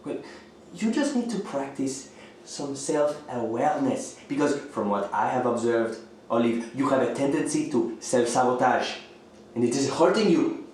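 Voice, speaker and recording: An actor playing an affectionate, melancholy housemate in a radio thriller, speaking in a slow, theatrical way. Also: male voice, mid-range voice, lively intonation, darkish tone, slight background noise, close to an everyday voice. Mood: stern concern. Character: melancholy, affectionate young male housemate, gently teasing. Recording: roomy echo, music underneath.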